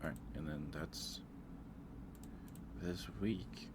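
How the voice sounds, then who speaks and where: A man's voice: very deep voice, fairly even intonation, takes it slowly, very noisy. A man hosting a casual livestream, thinking aloud in a hesitant way. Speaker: livestream host.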